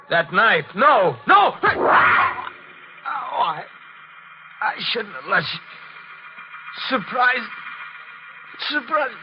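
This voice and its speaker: Menacing blackmailer, folksy and sly, higher-set male voice, vintage radio drama, muffled noisy recording. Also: full character voice. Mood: startled, terrified. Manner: insinuating, folksy, unhurried menace. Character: shady, menacing male blackmailer, ex-asylum worker, sly and casual. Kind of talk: 1940s radio acting.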